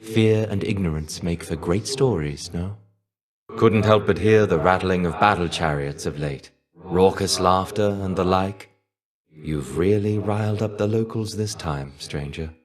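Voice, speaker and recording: A fallen warrior's shade for a video game, low in pitch and weary. He speaks in measured, formal, slightly archaic phrases. His manner is melancholy and guarded, with an understated wryness. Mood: dry, wry. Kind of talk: video game character voice acting.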